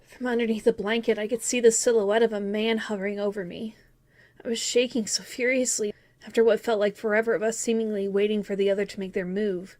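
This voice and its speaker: A woman's voice: mid-range voice, fairly even intonation, fairly quick, darkish tone, natural voice. A woman playing a grown-up wistfully recalling childhood, narrating a scripted first-person story in an earnest, reflective way.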